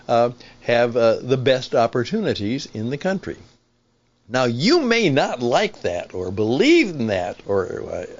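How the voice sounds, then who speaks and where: An older man, over sixty, hosting a solo radio-style podcast as a veteran broadcaster, talking conversationally in deliberate, emphatic phrases and often rewording as he goes. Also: mid-range voice, highs cut off. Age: 60s or older.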